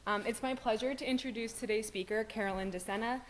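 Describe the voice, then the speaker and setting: A woman's voice: on the high side, fast, rough recording. Student girl doing the intro, lots of ums, faint hiss in the room. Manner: hesitant, many "um"s and "uh"s. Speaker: student representative introducing a speaker. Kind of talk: formal introduction. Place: university lecture room.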